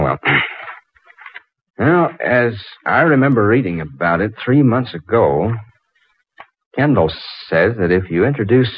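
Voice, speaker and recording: Casting: eager smitten inventor, 1940s radio drama, low husky voice, stammering. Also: male voice, full character voice. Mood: distracted musing. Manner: hesitant, muttering, stammering. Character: eager, flustered, smitten young male inventor. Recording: muffled old 1940s radio recording.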